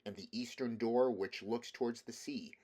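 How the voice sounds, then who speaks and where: A man's voice: mid-range voice, normal speed, mellow. A man reading a children's novel aloud as scripted storybook narration. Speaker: audiobook reader.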